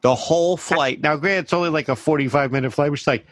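Animated swearing male storyteller, dry mic. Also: on the high side, quick pace, no room sound. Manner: animated, swears freely, acts out quoted voices. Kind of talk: casual comedic storytelling.

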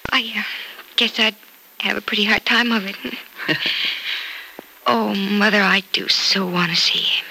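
An actress playing an earnest, hopeful injured young daughter in an old radio soap opera, with an emotional delivery. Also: female voice, medium pitch, steady tone, measured pace, vocal fry, pauses often, pushed and bright, slightly rough, full character voice. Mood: eager, pleading. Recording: noisy old radio transcription recording.

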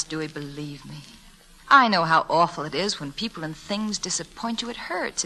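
An actress playing a gentle, earnest woman schoolteacher in theatrical, sentimental 1940s radio drama style. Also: mid-range voice, low-quality recording. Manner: tender, earnest, theatrical delivery.

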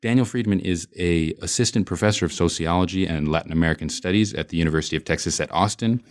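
Male host, very low voice, earnest academic podcast intro, some filler words. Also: quick pace. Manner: earnest, with frequent ums and uhs. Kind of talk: scripted introduction then interview questions.

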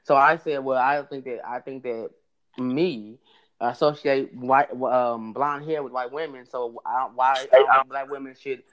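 A man with a high voice telling a story in casual chat, rambling with many restarts. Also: fairly even intonation, unhurried, muffled recording. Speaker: podcast guest telling a story.

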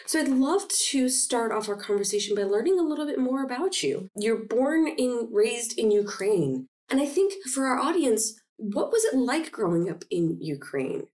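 A woman's voice: fairly high pitch, very animated, moderate pace, hardly any pauses, a little mellow. A woman hosting a podcast interview, introducing her guest and encouraging her with frequent listening sounds. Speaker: podcast host.

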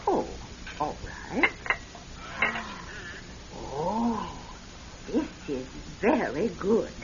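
A woman's voice: medium pitch, big pitch swings, very slow. An actress playing a kindly, fluttery elderly tearoom lady who tells fortunes, with a hesitant, exclaiming manner in classic radio drama style. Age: older. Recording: dull old radio, noisy crowd behind.

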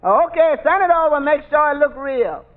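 An actor playing a dry-humored tavern waiter in a 1940s radio sitcom, with folksy ungrammatical speech and stammered repeats. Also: male voice, very high-pitched, animated, normal speed. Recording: tinny old radio broadcast with hiss.

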